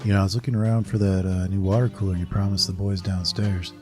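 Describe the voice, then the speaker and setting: Male detective, acted: sardonic, tired, profane, lower register, radio crime drama with music bed. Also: little pitch movement, fast, natural voice. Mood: wry, defensive. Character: wisecracking, weary, loyal male police detective. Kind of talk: audio drama acting.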